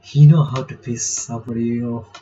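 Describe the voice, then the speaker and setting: A man with a soft, dark voice plays through a visual novel for his channel. He reads the text aloud and reacts informally between lines. The recording is slightly dull, with faint noise underneath. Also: mid-range voice, fairly even intonation, moderate pace. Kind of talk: casual playthrough commentary, reading game text aloud. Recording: muffled lo-fi mic with faint noise.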